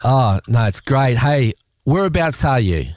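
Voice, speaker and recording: A man's voice: medium pitch, animated, measured pace, a little mellow. A man with an Australian accent hosting a community radio show, chatting loosely with lots of fillers and restarts. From South Australia. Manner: rambling, chatty, with many fillers. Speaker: radio host. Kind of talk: casual community radio chat.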